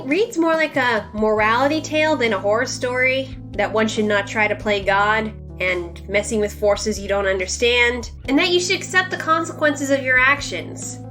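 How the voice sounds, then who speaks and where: A woman's voice: a little high, fairly bright tone. A woman hosting a book review, narrating in a conversational, semi-scripted way with emphatic, informal asides. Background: music under the voice.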